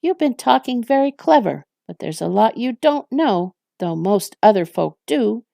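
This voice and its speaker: A woman's voice: fairly high pitch, sing-song, unhurried, creaky, dark tone, no echo, clean studio sound, voice pushed up, heavily acted. A woman voicing an irritable, growling male orc captain from a fantasy storybook, blustering and snarling. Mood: angry, scornful.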